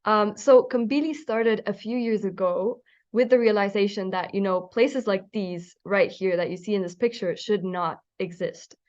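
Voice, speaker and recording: Young woman from Finland, student panelist, eager, mid pitch, muffled sound. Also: moderate pace, a little mellow. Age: young adult. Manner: eager, fluent, uses fillers like "um" and "you know". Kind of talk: presenting a project on a panel.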